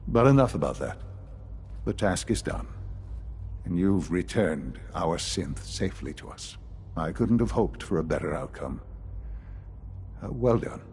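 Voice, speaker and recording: An actor playing a calm, calculating older institute leader in a video game, speaking formally and courteously. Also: male voice, deep voice, unhurried, pauses often, slight background noise, heavily acted. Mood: calm, approving.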